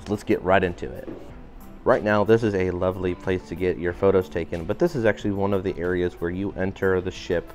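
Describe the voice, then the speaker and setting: A man giving an upbeat walking tour of a cruise ship, his voice a little raspy today. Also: low-pitched, fairly even intonation, fairly quick, darkish tone, soft background music. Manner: upbeat, enthusiastic guiding. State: slightly raspy voice. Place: indoor public areas of a cruise ship.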